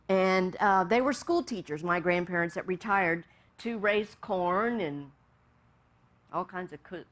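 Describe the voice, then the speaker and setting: A woman minister from Texas, leading a meditation and then giving a gentle, storytelling sermon with amused asides. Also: on the high side.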